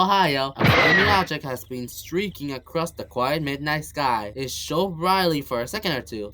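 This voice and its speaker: A woman's voice: sits low, fairly bright tone. A woman reading scripted storybook narration as the narrator. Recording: music behind the voice.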